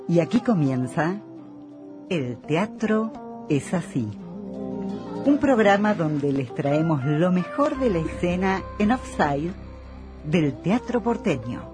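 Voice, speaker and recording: An actress with an Argentine accent playing a polished, courteous host of a theatre radio programme, with a raspy voice. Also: female voice, on the low side. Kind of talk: radio show hosting.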